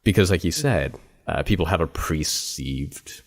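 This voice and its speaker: A man hosting a podcast interview, talking in a relaxed, agreeable, conversational way. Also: sits low, measured pace. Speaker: podcast host. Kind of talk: casual interview chat.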